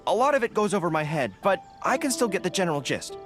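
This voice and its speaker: Video game role: young male canine-eared general, sincere, courteous, animated intonation, music underneath. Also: on the low side, fast talker. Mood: thoughtful. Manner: earnest, polite, thinking aloud.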